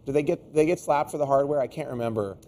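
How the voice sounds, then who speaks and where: A man with a medium-pitched voice hosts a loose tech chat. He sounds tired and under the weather, and he rambles and interrupts himself with some exasperation. Clear ambient noise sits behind him. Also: fast, darkish tone. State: tired, getting sick, losing his voice. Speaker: tech show host. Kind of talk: casual unscripted tech discussion.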